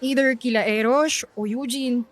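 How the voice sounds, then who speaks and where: Woman acting high-pitched, anxious Filipino student girl. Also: steady tone, moderate pace, close to an everyday voice. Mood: fretful, indecisive. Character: anxious, indecisive teenage girl student, hurt by friends. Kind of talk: Filipino radio drama acting.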